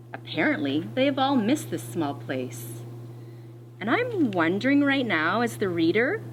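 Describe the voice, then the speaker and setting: A woman teacher reading a picture book aloud to children in an expressive, deliberate way, pausing to explain as she goes. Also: mid-range voice, slight background noise, low-quality recording.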